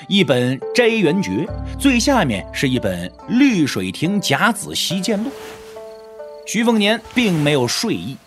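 Male narrator, dramatic scripted storytelling, highly animated intonation, slightly high voice, music underneath. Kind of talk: dramatic scripted narration of a martial arts novel.

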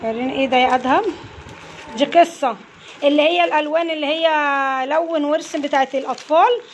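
A woman's voice: high-pitched, fast talker, dull audio, slight background noise. A woman with an Egyptian Arabic accent chatting casually as a channel host, coaxing children along as they open snack bags at home. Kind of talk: casual unboxing chat with children. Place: home, indoors, family around. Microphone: phone held by hand.

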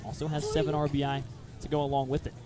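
Male, fairly high voice, live radio baseball play-by-play, clipped descriptive phrasing, ballpark broadcast. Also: monotone, quick pace, crowd noise, noisy background.

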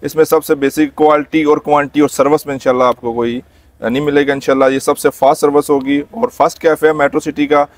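A man's voice: a little high, flat delivery, fast, no echo. A man with a Pakistani accent answering courteously as a business owner in an informal interview. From Gujar Khan, Pakistan. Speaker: cafe owner being interviewed. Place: outdoors in front of a small cafe storefront. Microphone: lapel mic.

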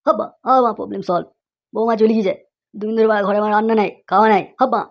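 Loud, whining, agitated cartoon husband voiced by an actor. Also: medium pitch, rapid-fire, creaky, natural voice. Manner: exclamatory, repeats a catchphrase cry. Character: agitated young husband, loud, whining, impatient village everyman. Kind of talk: comedy cartoon voice acting.

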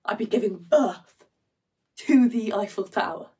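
A woman performing a dramatic stage monologue as an anxious, agitated young woman who rants in a halting, intense way. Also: medium pitch, a little mellow, breathy edge, far from the mic, voice pushed up. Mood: incredulous, anxious. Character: anxious, ranting, educated young woman debating motherhood.

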